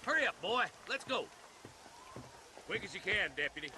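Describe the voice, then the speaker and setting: A man's voice: high-pitched, very animated, moderate pace. An actor playing an impatient, bullying lawman in a Western video game. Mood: impatient, bossy. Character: bullying, impatient male Old West lawman. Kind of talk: video game cutscene acting. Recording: clear outdoor noise.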